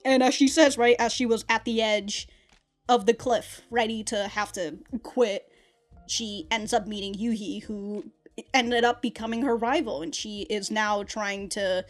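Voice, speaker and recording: A woman's voice: mid-range voice, a little slow, faint music behind. A young adult woman hosting an anime reaction vlog, rambling through a casual review with frequent restarts and laughs. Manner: rambling, animated, laughs often, many restarts.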